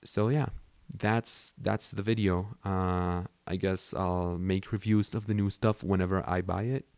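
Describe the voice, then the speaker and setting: A man's voice: sits low, steady tone, a little slow, a little mellow, clean tone, no echo. A young man from Mexico whose first language is Spanish, rambling casually about his camera gear with many fillers and restarts. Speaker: vlogger.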